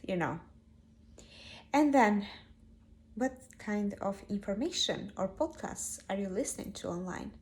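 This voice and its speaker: A woman from Albania giving a slow, reflective spiritual talk to camera, with many pauses and fillers, growing emphatic at times. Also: mid-range voice. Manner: grows animated and emphatic. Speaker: spiritual teacher.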